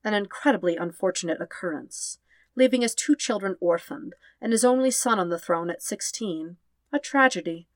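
A woman reading fan fiction aloud as scripted narration, in measured, dramatic short phrases. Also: medium pitch, expressive, no room sound. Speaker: podfic narrator.

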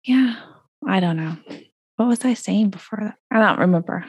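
A woman's voice: medium pitch, moderate pace, husky, no room sound. A woman co-hosting a podcast in a casual, rambling chat, laughing often and losing her train of thought, recorded over a video call. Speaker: podcast co-host. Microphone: video call audio.

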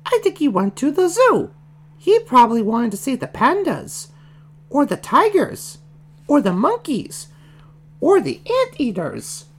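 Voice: high-pitched, wildly swooping pitch, unhurried, slightly rough, voice pushed up, full character voice. A performer with a woman's voice playing a bossy, prim little girl piglet in a children's storybook reading. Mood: confident. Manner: bossy, self-important.

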